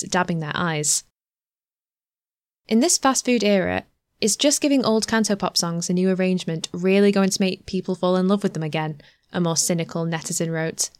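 Woman, news reader, scripted feature narration, even measured delivery, mid-pitched, dry close studio sound. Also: no echo.

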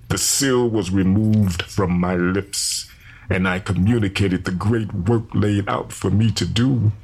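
Man acting a deep, gravelly, solemn rebel preacher. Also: a bit bright, heavily acted. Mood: grave, resolute. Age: 30s. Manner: solemn, deliberate, scriptural phrasing. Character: enslaved visionary preacher in his thirties, solemn rebel leader. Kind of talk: dramatized first-person confession.

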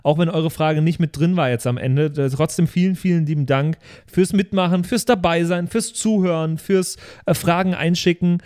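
A man's voice: fairly high pitch, steady tone, moderate pace, few pauses, darkish tone, smooth. A man co-hosting a podcast in casual, slangy chat among friends. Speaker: podcast co-host closing a listener Q&A episode.

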